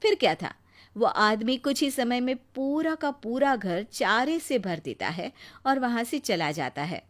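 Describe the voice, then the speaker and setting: High-voiced woman storyteller. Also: animated, unhurried. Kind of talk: scripted Hindi moral story narration.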